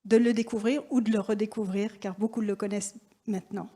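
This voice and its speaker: A woman hosting an event, introducing a guest on stage earnestly and pausing as she looks for her words. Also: mid-range voice, steady tone, mellow, slight background noise. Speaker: event host.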